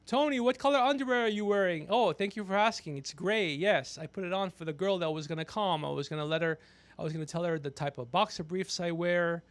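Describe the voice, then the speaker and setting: A man hosting a podcast livestream in casual banter, teasing, swearing and excitable in manner. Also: sits high, no room sound. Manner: teasing, needling, profane. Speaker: podcast host.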